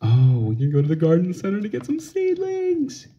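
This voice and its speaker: A man's voice: high-pitched, huge pitch swings, normal speed, warm tone. A man speaking as a parent to a small child in a casual picture-book read-along, with simple, encouraging talk. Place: indoors at home, over a book on a table. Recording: dull, lo-fi home recording.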